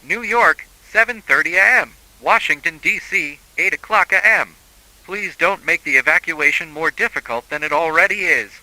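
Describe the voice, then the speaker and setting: A man's voice: fairly high pitch, normal speed, pushed and bright, no room sound, poor audio. A man reading scripted emergency alerts as a broadcast announcer, in a formal, solemn manner.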